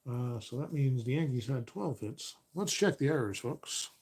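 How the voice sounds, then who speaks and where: Relaxed man calling a tabletop baseball replay. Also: mid-range voice, moderate pace, breathy edge. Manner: relaxed, self-correcting, counting stats aloud. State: voice getting a little raspy. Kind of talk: play-by-play commentary of a board game replay.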